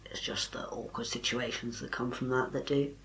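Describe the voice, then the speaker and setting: Young man in his twenties, light breathy voice of middling pitch, quiet and unforced delivery; casual vlog. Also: flat delivery, moderate pace, whispered, low-quality recording. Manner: soft and quiet, little vocal power. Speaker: vlogger. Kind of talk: casual talk to camera.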